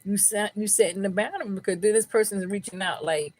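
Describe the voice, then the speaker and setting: A woman giving a live tarot reading in casual, slangy, profane talk. She keeps checking in with her listeners and sometimes drops her voice low into a close microphone. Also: fast talker, darkish tone. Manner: slangy, profane, keeps asking "you know what I'm saying"; lowers her voice to keep it down. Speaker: tarot reader. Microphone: own microphone, close up.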